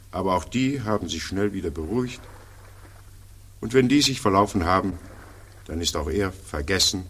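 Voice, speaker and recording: Sardonic, detached male observer, low and unhurried, dry musing, faintly noisy vintage radio play. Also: a bit bright, exaggerated voice. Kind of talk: 1940s radio drama monologue.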